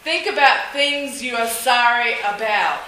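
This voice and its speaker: A woman co-preaching a sermon in short phrases, pausing for an interpreter. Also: on the high side, pushed and bright. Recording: very echoey room, faint noise.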